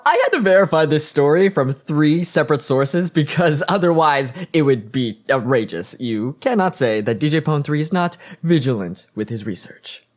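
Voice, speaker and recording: A showy radio DJ in an audio drama, pitched high and swooping widely. He announces with grand, upbeat theatrical patter. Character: flamboyant male pony radio DJ, upbeat and showy. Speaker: radio host.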